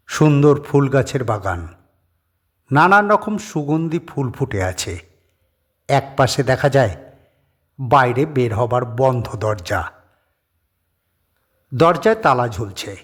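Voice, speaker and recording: A man reads a horror story as its narrator in a medium-pitched voice. He goes slowly and measuredly, pausing between short phrases. The delivery is scripted and dramatic. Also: big pitch swings, slightly rough.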